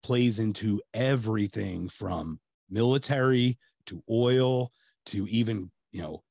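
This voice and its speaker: A man's voice: on the low side, creaky, darkish tone, no echo, clean studio sound. Chatty American man hosting a video-call podcast. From United States. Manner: rambling, many restarts and fillers. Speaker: podcast host. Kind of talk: casual interview chat. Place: home room, video call.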